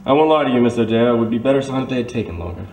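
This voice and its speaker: A man's voice: medium pitch, expressive, very fast, a little mellow, lightly acted. Male actor as courteous, reassuring Major for detective serial; formal manner, roomy distant sound, faint noise. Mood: gently reassuring. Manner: formal, polite, old-fashioned turns of phrase. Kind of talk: audio drama acting in a 1930s detective serial.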